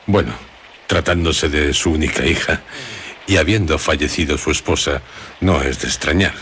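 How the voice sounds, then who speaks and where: A man's voice: rumbling low, normal speed, a bit bright, slight background noise. A man playing a formal, probing listener who questions a woman about her past in a radio drama. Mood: formal, reassuring.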